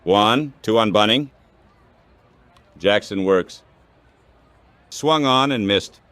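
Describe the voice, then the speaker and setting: A man calling baseball play-by-play in measured, clipped phrases, like scripted radio commentary. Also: on the low side, fairly bright tone, no room sound.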